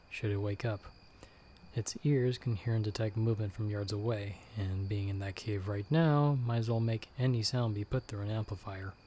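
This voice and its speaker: A man playing a stern, sardonic monster hunter who guides the listener in hushed, urgent tones in an ASMR audio roleplay. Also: on the low side, a little hiss or hum, close to an everyday voice. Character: gruff, sardonic male monster hunter, a disembodied guiding voice.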